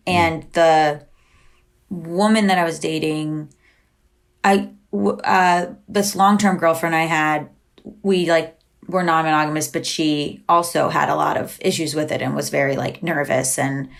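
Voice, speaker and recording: Lady guest on a podcast, kinda low voice, says "like" constantly, acts out little convos with herself, laughs a lot. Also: steady tone, measured pace, creaky. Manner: chatty, heavy use of "like", acts out quoted exchanges; frequent laughs and restarts. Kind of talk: candid conversational interview.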